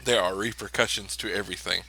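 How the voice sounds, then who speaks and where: A man's voice: fairly low pitch, fairly even intonation, fast talker, noisy background. A man playing a tabletop role-playing game in casual in-character chat, often stammering and repeating his words.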